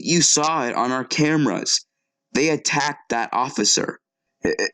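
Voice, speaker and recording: A man's voice: fairly low pitch, no echo, poor audio. A man playing an earnest TV news anchor in an audio drama, talking in a conversational, sometimes hesitant way. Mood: shaken. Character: male TV news anchor, earnest, shaken but composed. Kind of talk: acted local TV newscast.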